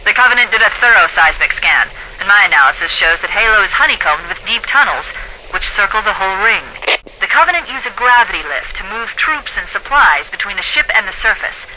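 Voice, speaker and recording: A woman playing a poised, confident military AI companion in a video game, giving crisp tactical orders and briefings. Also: medium pitch, expressive, fairly quick, bright, forceful tone, slight background noise, poor audio. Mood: brisk, commanding. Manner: crisp, authoritative, articulate tactical delivery. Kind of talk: video game voice acting, military radio dispatches.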